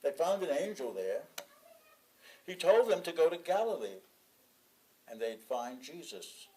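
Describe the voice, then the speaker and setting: A man reading a written sermon aloud, with measured, deliberate phrasing and pauses between phrases. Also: a little high, big pitch swings, mellow, low-quality recording. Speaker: sermon reader. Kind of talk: sermon read from a written text.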